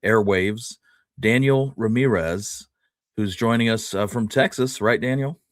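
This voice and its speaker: A man hosting a podcast, chatting casually and pausing often mid-sentence. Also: fairly low pitch, no echo, clean studio sound. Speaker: host.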